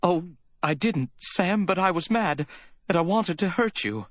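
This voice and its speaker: A man's voice: medium pitch, moderate pace, no room sound, clean studio sound, pitched above the performer's own voice, lightly acted. A man voicing a distraught, jealous woman in an audiobook, with a choked, whimpering, pleading delivery. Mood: distressed. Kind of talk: dramatic audiobook character reading.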